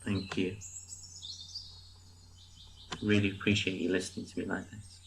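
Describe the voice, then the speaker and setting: A reflective, ailing man played by an actor speaks in a fairly low voice over faint birdsong. His delivery is quiet and hesitant, in naturalistic radio play style. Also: completely flat delivery, measured pace, creaky. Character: terminally ill man, reflective, grateful stranger. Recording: faint outdoor noise with birdsong.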